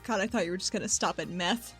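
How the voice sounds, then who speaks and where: A woman's voice: medium pitch, fast, fairly bright tone, faint music behind. A woman chatting casually as a podcast co-host.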